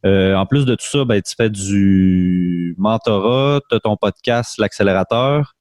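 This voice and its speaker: Casting: Québécois male host, low voice, loose conversational delivery, dry close sound. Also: unhurried, darkish tone, dry recording. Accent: Québécois French. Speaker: podcast host. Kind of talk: casual interview chat.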